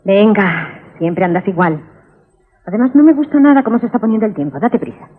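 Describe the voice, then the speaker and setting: A woman's voice: medium pitch, fairly quick, close to an everyday voice. An actress playing an affectionate but cautious, mildly scolding mother with a Castilian accent in a Spanish radio drama. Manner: expressive, motherly. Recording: thin phone-like 1980s radio recording.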